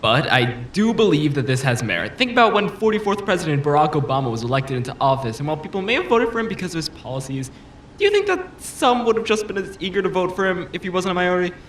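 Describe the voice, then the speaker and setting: A man's voice: high-pitched, big pitch swings, quick pace, a bit bright. An actor playing a self-assured, combative politician in an audio drama, pressing his argument with polished, insistent rhetoric. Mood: accusatory. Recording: roomy echo, faint background noise.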